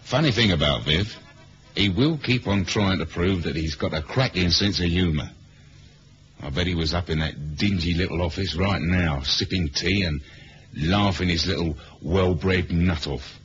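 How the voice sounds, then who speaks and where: A man's voice: deep voice, normal speed, over music, close to an everyday voice. A man playing a world-weary, sardonic British spy in a 1970s radio drama, with dry, slangy delivery and a British accent. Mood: wry narration. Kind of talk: 1970s radio spy drama, acting and first-person narration. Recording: muffled old radio recording, faint hiss.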